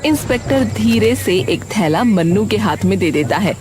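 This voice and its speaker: A woman's voice: medium pitch, lively intonation, moderate pace, background noise. A woman narrating a scripted story as its storyteller.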